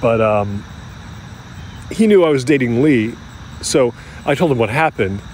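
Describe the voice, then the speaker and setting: An actor playing a middle-aged American man who recalls his youth candidly, in a halting, conversational, self-deprecating way, as if interviewed for an audio drama. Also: mid-range voice, expressive, a little mellow, slight background noise, natural voice. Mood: reflective, candid. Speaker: interview subject. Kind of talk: naturalistic audio drama acting as interview reminiscence.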